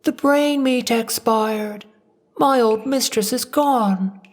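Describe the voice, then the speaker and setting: A performer voicing a squeaky, devoted, childlike male servant creature in an audio story. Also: takes it slowly, close to an everyday voice. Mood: mournful. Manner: fawning, simple, mournful phrasing. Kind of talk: audiobook fan fiction character voice.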